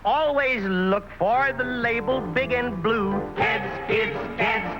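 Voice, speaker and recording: A man with a high voice sings and announces a vintage children's commercial. His delivery is slow and measured, and loud music plays right along with him on the old soundtrack. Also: poor audio. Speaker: commercial pitchman and jingle singer.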